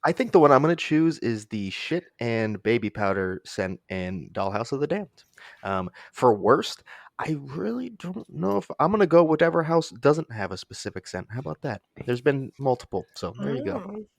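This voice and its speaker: A man with a very animated, swinging intonation chats on a podcast. He is wry and opinionated, heard close with no room echo. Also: medium pitch, normal speed, gravelly. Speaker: podcast co-host. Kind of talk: casual podcast chat.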